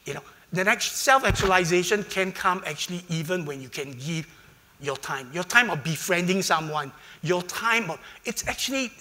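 A man with a high, bright voice speaks on a conference panel. He talks enthusiastically and fills his sentences with "you know" and "actually". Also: lively intonation, moderate pace. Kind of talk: panel discussion.

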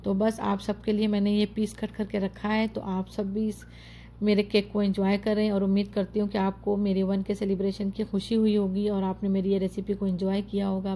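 Creaky, flat-voiced woman narrating a recipe. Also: mid-range voice, a little hiss or hum. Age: adult, mother of children. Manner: chatty, repeats warm praise of the dish; addresses viewers directly with step-by-step tips. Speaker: home cook and channel host. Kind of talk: cooking tutorial voice-over.

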